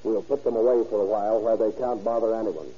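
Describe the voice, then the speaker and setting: Muffled old radio, guy playing stern police boss, formal commanding talk, really dark husky voice. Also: on the low side, fairly even intonation, quick pace, lightly acted. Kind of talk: 1930s radio acting.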